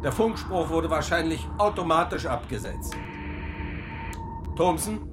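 An actor playing a gruff, loyal, hot-tempered middle-aged submarine commander in a radio drama, blunt in his speech. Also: on the high side, lively intonation, unhurried, over music. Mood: grim.

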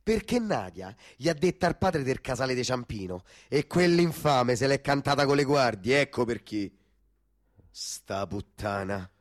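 A male actor plays a young Roman slum hustler in a radio play. His mid-pitched voice moves up and down a lot, with a Roman accent. He talks in coarse slang, lazy, jealous and quick to bristle. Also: lively intonation, natural voice. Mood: irritated, jealous. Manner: coarse slangy street talk. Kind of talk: stage radio drama dialogue.

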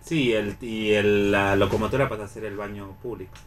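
A man's voice: lowish voice, fairly even intonation, brisk, crowd noise. Argentine man in reflective radio discussion. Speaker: radio panelist.

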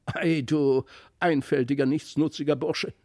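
Man voicing an excitable, boastful little boy. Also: fairly high pitch, a little slow, lightly acted. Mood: scornful, indignant. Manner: excitable, emphatic, exclamatory. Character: impulsive, boastful young boy, quick to scorn his toys. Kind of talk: storybook character voice.

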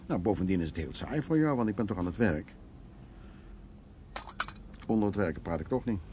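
An actor playing a gruff, stubborn art restorer in his sixties in a radio play, talking in a casual, halting, naturalistic way. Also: lowish voice, very animated, mellow, a little hiss or hum. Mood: mildly impatient. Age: 60s. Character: sixty-year-old male art restorer, gruff, stubborn, reflective.

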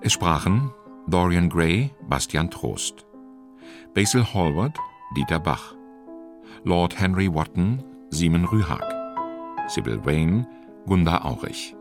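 A man's voice: sits low, very animated, takes it slowly, over music. A man reading scripted title and cast credits as a radio play announcer.

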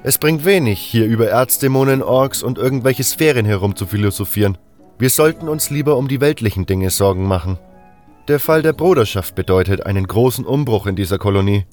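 A man's voice: fairly low pitch, lively intonation, a little fast, darkish tone, lightly acted. A man voicing a seasoned, pragmatic scout, self-assured and no-nonsense, in a fantasy audio drama. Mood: impatient. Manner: assertive, no-nonsense. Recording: music under the voice.

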